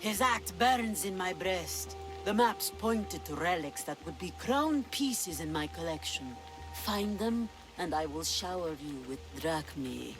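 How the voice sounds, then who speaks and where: A woman's voice: fairly low pitch, animated, measured pace, over music. A middle-aged pirate queen in a video game. She is sly and imperious, savouring her words with languid, teasing theatricality over a musical score. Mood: commanding. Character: imperious, sly, treasure-loving older female pirate queen. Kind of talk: video game cutscene acting.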